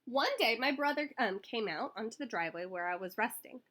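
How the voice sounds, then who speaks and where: A woman reads a children's book aloud as a staff member at a school would. Her voice sits fairly high and moves up and down a lot. The tone is bright and the delivery animated and expressive. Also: brisk. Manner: animated, acts out dialogue.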